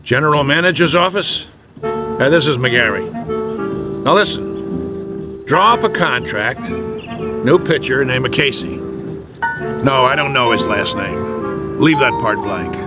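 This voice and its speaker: An actor playing a gruff, bossy, streetwise American baseball manager in a radio drama, blunt and slangy, with music behind him. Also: male voice, mid-range voice, expressive, slow, pauses often. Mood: urgent, bossy.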